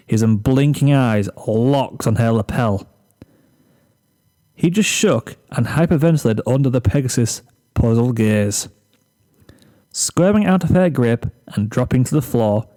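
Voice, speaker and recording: Mellow-voiced man narrating a story. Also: mid-range voice. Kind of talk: scripted narration of a comic story.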